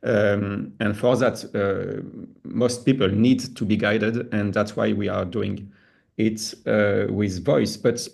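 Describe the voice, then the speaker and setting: A man presenting a webinar, explaining things informally in a halting, step-by-step way. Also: on the low side, fairly even intonation, creaky, a little mellow.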